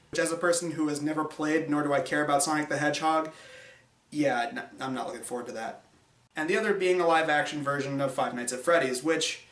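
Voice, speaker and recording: A man's voice: fairly high pitch, fairly bright tone. A man hosting a show with opinionated scripted commentary, informal and sarcastic in delivery. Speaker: video show host. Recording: echoey room.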